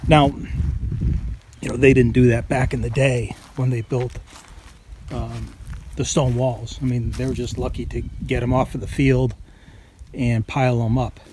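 A man's voice: medium pitch, little pitch movement, a little slow, long pauses, a little mellow. A man giving a casual, folksy how-to narration in a plain-spoken way, recorded outdoors on a handheld camera. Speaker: farm vlogger. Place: outdoors on a farm property. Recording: outdoor noise behind the voice.

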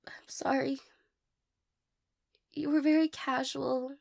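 A young woman playing a shy, insecure, tearful girl in an intimate romantic audio roleplay, hesitant, stammering and apologetic, with a breathy, creaky voice. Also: sits high, little pitch movement, slow pace, darkish tone, exaggerated voice.

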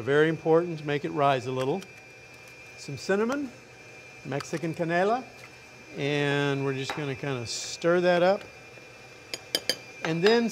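Man, middle-aged, chef, relaxed cooking demo, raised pitch, faint pots and pans behind. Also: a little hiss or hum. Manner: relaxed, chatty step-by-step explaining. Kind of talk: cooking demonstration. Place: restaurant demo kitchen, indoors.

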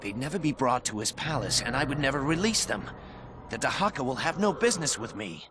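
An actor playing a brooding, driven young warrior prince in a video game, speaking solemnly and deliberately to himself in hushed tones. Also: male voice, mid-range voice, lively intonation, crowd noise, natural voice. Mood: pondering, resolute. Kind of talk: dramatic video game cutscene monologue.

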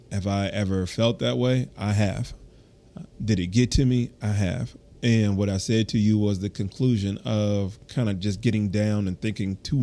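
Brief: male trainer podcast host, lowish voice, chatty and self-correcting, encouraging. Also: mellow. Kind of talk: casual solo podcast reading an article with commentary.

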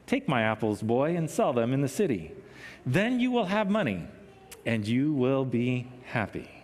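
A man's voice: medium pitch, sing-song, normal speed, a little mellow, voice pushed down. A man voicing a loving, sorrowful old female tree in a storybook reading, tender and wistful. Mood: apologetic, giving. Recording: echoey hall with long reverb.

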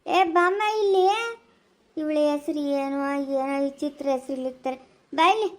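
A woman's voice: sits high, normal speed, lightly acted. A woman or girl voicing a fussy, hard-of-hearing old grandmother who frets and calls out. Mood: fussing. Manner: fretful, scolding, calling out loudly.

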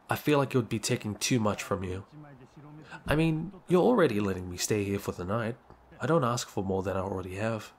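Man acting a shy, low-voiced young neighbor guy. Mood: flustered, shy. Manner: hesitant, halting, apologetic. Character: shy, apologetic, awkward young male neighbor. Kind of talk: ASMR roleplay acting.

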